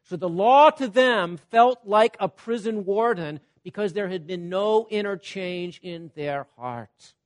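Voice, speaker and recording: A man with a high voice delivers a sermon in an animated way. He swings from loud, emphatic points to soft, quiet asides and often checks in with his listeners. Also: measured pace, hardly any pauses. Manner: repeats "Okay?" to check on listeners. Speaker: preacher.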